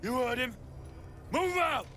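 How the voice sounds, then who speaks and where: A man's voice: very high voice, animated, takes it slowly, bright, forceful tone, a little hiss or hum, full character voice. A man playing a brusque cult soldier in a fantasy game, curt and commanding.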